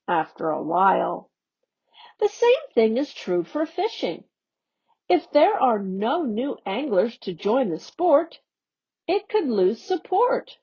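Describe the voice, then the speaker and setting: A woman on an education staff reading a youth newsletter aloud in a slow, expressive storybook manner. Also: a little high, breathy edge. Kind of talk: read-aloud for young learners. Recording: dull lo-fi audio.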